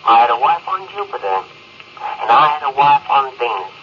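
An actor playing a simple, sentimental middle-aged ship's mechanic in an old radio drama, rough-spoken and rambling, heard over a spaceship radio. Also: male voice, mid-range voice, lively intonation, brisk. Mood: wistful, reminiscing. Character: simple, garrulous, sentimental working-class ship's mechanic, male. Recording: muffled old radio broadcast, noisy hiss.

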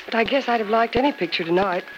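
An actress playing a coy but frank young nurse in an old-time radio drama, with a hesitant delivery. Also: female voice, a little high, quick pace, dull audio, lightly acted. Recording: very noisy vintage radio recording.

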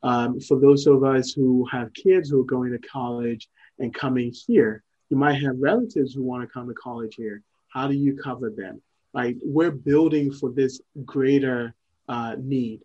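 A middle-aged man from Ghana, speaking as a guest in an interview, measured and thoughtful with frequent pauses. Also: medium pitch, fairly even intonation, dark tone, dull audio. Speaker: guest, entrepreneur. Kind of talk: interview conversation.

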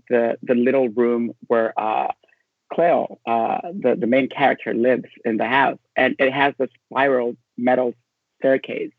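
A man's voice: medium pitch, gravelly, breathy edge, no echo, phone-quality sound. A man from Honduras speaking as a guest film critic, conversational and personal, over a thin remote connection. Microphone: remote call.

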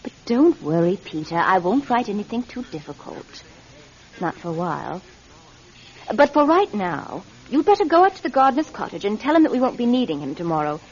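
Woman playing scheming, lively-voiced sister, dull recording. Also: mid-range voice, a little fast, natural voice. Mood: coolly scheming. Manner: domineering, conniving, insistent. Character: scheming, bossy, cold-blooded sister. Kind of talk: radio drama acting. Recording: dull vintage radio recording, faint music.

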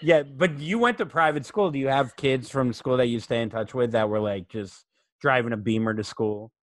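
A man's voice: medium pitch, moderate pace. A man chatting casually as a comedy podcast host, loose, rambling and jokey.

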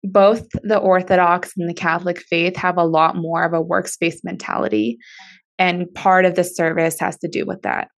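A woman's voice: fairly low pitch, flat delivery, moderate pace. A woman whose first language is Russian, a podcast guest talking casually and earnestly about church. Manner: earnest, explanatory, with soft laughs. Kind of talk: casual conversation.

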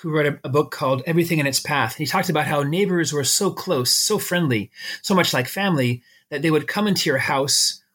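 Middle-aged american guy, kinda high voice, bit raspy, explaining stuff like an academic in an interview. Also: brisk, a bit bright. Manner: explanatory, illustrates with everyday examples. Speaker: academic expert guest.

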